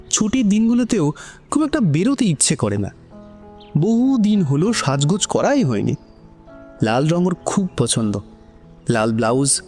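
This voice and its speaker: A man's voice: on the high side, darkish tone. A man narrating an emotional romantic story from a script.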